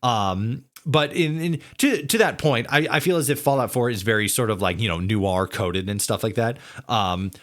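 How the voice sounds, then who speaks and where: A man hosting a casual interview podcast, building long questions haltingly and repeating words as he goes. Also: mid-range voice, fairly bright tone.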